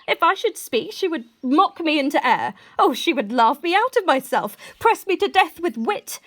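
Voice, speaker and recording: A woman playing a lively, mischievous young gentlewoman in a Shakespearean radio play, with theatrical, emphatic delivery. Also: high voice, normal speed. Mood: mock scornful. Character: lively scheming young gentlewoman about to be married. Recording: recorded separately at home.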